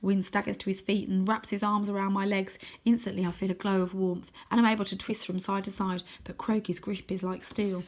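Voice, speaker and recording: A woman narrates a children's adventure story in a mid-pitched voice. Her reading is dramatic and deliberate, using hushed tones to build suspense. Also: flat delivery. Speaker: teacher reading aloud.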